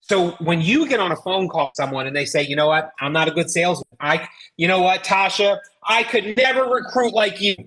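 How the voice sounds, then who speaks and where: A woman's voice: fairly low pitch, brisk, fairly bright tone, low-quality recording. A woman with an American accent giving a motivational sales coaching talk as a trainer, emphatic and folksy, with rhetorical questions. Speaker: network marketing trainer.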